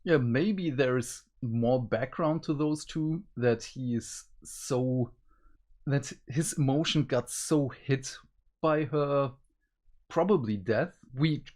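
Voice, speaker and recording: Slow-talking man hosting a casual review. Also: mid-range voice, a little mellow. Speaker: review show host.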